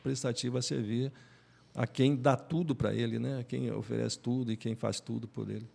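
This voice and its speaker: An older man, discussing faith conversationally and haltingly, often repeating words as he searches for the next. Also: medium pitch, fairly even intonation, vocal fry, darkish tone. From Brazil. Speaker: Bible study panelist.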